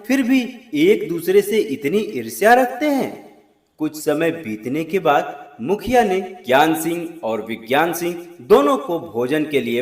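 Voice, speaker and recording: Male storyteller for scripted moral tales, expressive and widely varied intonation, deliberate delivery, echoey recording. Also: on the high side. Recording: roomy, echoing voice recording.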